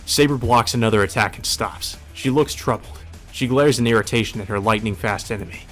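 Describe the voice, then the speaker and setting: A man's voice: lowish voice, a little fast, fairly bright tone, faint music behind. A man reading visual novel narration aloud in a dramatic first-person storytelling style for a let's play.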